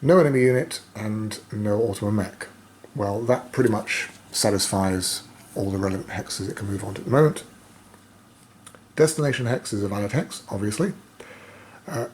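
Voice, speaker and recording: Low, croaky man thinking aloud. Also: a little mellow. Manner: thinking aloud, hesitant pauses. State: croaky voice, coughing now and then. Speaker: solo board game player and presenter. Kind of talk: casual play-by-play commentary of a solo board game. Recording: noticeable background noise.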